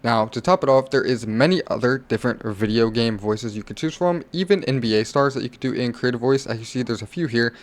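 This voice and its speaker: A man's voice: medium pitch, a little fast, few pauses. A man narrating a casual gaming tutorial in an informal, rambling way, like a content creator talking to followers.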